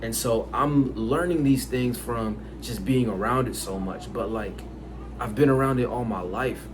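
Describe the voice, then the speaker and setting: A man's voice: mid-range voice, a little slow. Chatty man vlogging over background noise. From United States. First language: Haitian Creole. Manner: rambling, filler-heavy, conversational. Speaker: language-learning vlogger. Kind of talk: casual talk to camera. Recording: noisy background.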